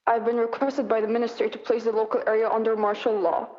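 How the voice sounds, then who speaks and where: A muffled recording with long reverb carries a stern general acted by a woman. The delivery is fast, clipped and official, in a soft-edged, mellow tone, like a military statement in a radio play. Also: a little high, little pitch movement, close to an everyday voice. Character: stern brigadier general announcing martial law over radio.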